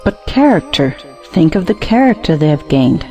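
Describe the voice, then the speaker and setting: A woman's voice: sits low, big pitch swings, normal speed, warm tone, soft background music. An actress playing an anxious, sentimental, conventional married woman who pleads in an audio play. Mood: pleading. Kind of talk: stage play audiobook acting.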